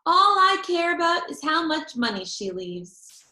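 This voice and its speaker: A woman's voice: very high voice, big pitch swings, unhurried, pushed and bright, pitched above the performer's own voice, exaggerated voice. A woman voicing a vain, money-loving little rich girl in a storybook, haughty and declarative. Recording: roomy, echoey sound.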